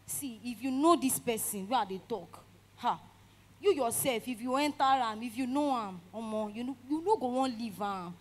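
A woman's voice: a little high, moderate pace, close to an everyday voice. A young woman with a Nigerian accent playing an anxious, caring friend in a drama, pleading and repeating herself with frequent exclamations. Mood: pleading, coaxing. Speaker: actor in a dramatic skit. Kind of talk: emotional dialogue acting with some Pidgin.

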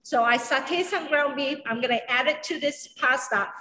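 A woman's voice: high voice, moderate pace, sharp tone. A middle-aged woman from Singapore and Malaysia, a chef and culinary educator, telling a story during a cooking demo in a large kitchen. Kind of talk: cooking demonstration. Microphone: camera at a distance. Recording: echoey, reverberant kitchen.

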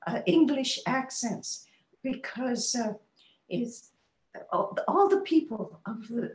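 An older woman, an author, answering interview questions over a video call, pausing often with fillers and restarts. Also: on the high side, a little mellow. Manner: halting, many fillers and restarts. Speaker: author and guest. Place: home study with bookshelves, indoors. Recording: roomy echoey home video call.

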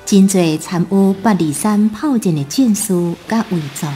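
A woman's voice: medium pitch, unhurried, mellow, faint music behind, lightly acted. A woman with a Taiwanese accent delivering a lyrical, scripted radio drama introduction. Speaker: narrator.

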